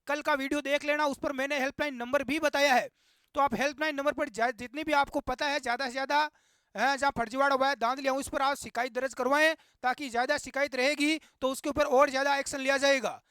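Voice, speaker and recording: A man's voice: very high-pitched, steady tone, fast, pushed and bright, dry recording, clean studio sound. A man giving impassioned, indignant commentary and urging his viewers on in an exhortative, repetitive way. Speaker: YouTube host.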